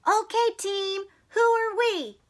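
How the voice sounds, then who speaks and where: A woman's voice: very high voice, takes it slowly, pitched above the performer's own voice. A woman voicing a peppy storybook mother who coaches a children's team, cheerily calling out to rally the players.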